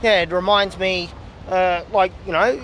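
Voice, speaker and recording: A man with a slightly high voice rambles through a casual outdoor livestream, full of uhs and side remarks. He says he is losing his voice. Faint street traffic hums behind his phone recording. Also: steady tone, a little slow, a bit bright, poor audio. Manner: rambling, many fillers and asides. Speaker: political vlogger. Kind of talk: casual livestream talk to viewers. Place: outdoors in a public town area.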